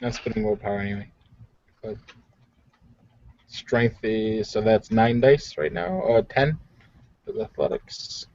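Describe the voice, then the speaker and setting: A man's voice: fairly low pitch, animated, measured pace, creaky, pauses often, a little mellow, no room sound. A man playing a tabletop game, bantering casually in a teasing, wisecracking way. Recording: muffled lo-fi recording.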